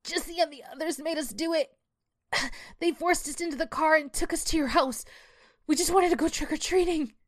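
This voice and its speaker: A woman's voice: high voice, expressive, unhurried, long pauses, no room sound, studio quality, voice pushed up. A woman voicing a frightened but defiant fourteen-year-old girl in a horror story, pleading urgently. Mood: desperate pleading.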